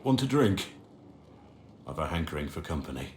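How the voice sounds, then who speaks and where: An actor playing a brooding, guilt-ridden warrior in a video game, speaking heavily and deliberately in a gruff voice. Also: male voice, a bass voice, sing-song. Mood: wry. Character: guilt-ridden gruff male warrior confessing his cowardice. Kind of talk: dramatic video game dialogue. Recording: echoey room, faint background noise.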